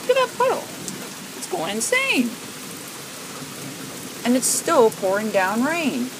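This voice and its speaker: An excitable amateur storm watcher filming and commenting on the weather in exclamations, often repeating words for emphasis. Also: medium pitch, slow pace. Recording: home video with rain noise behind. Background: heavy rain.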